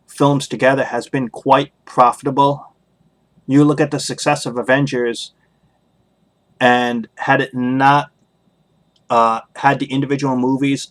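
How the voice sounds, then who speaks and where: A man with a mid-pitched voice talks as a solo podcast host. His commentary is casual and opinionated, with emphatic stress on key points. He tends to circle back and restate things in a critical manner.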